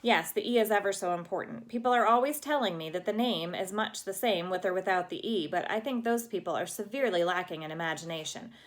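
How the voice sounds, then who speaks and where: A woman's voice: medium pitch, fast, few pauses, lightly acted. A woman voicing a dramatic, chatty red-haired girl from a storybook who talks in a gushing, flowery, theatrical way with an accent that cannot be placed. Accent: unplaceable.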